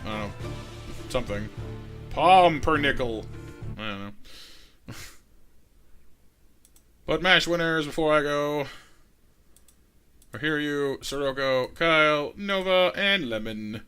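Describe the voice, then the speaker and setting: A man's voice: a little high, slow pace, long pauses, bright, forceful tone, clean tone, soft background music. Chatty man gaming, voice going scratchy. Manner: playful, chatty, sings silly bits. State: voice getting scratchy. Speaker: live-streamer. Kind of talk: casual gaming stream chat.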